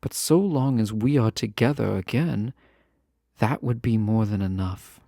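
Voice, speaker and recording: A proud fantasy ruler, acted by a man, speaks with yearning and formal care. His mid-pitched voice has a marked gravelly fry and moves slowly through hesitant pauses. The sound is dry and intimate, in the style of a romantic audio roleplay. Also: mellow, no echo. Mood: earnest, hopeful. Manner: formal, deliberate, earnest speech with hesitant pauses.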